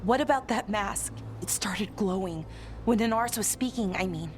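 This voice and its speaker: A woman's voice: medium pitch, normal speed, a little hiss or hum, close to an everyday voice. A woman playing an earnest, loyal elven sister in a fantasy game, with a pained, hesitant manner. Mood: troubled.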